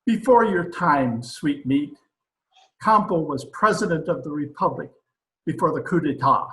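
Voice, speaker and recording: A man's voice: fairly high pitch, lively intonation, unhurried, fairly bright tone, echoey room, rough recording. A man playing a pompous, blustering dictator president in an old-time radio comedy recorded over a video call. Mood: casually sly. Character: pompous, excitable dictator president of a banana republic.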